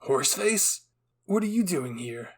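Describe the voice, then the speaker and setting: An impulsive, jealous teenage boy soldier from an anime fan story, acted out by a man. The voice is raised in pitch and creaky, with a hot-headed manner. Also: big pitch swings, unhurried, full character voice. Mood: indignant. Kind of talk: anime fan fiction roleplay.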